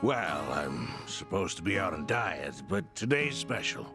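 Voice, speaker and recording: A man playing a serene, fatherly religious leader in a video game cutscene, speaking gently and formally. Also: low voice, sing-song, unhurried, music in the background, heavily acted. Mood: calm, kindly. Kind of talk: dramatic game cutscene acting.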